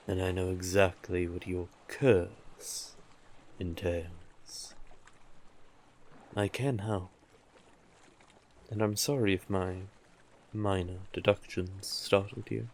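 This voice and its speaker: Slow, low-voiced, courtly sea witch played by a man. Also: darkish tone, a little hiss or hum. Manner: soothing, courtly, teasing, drawn-out endearments. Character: monstrous, civil, coaxing male sea witch in a cave. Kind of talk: scripted intimate roleplay audio drama.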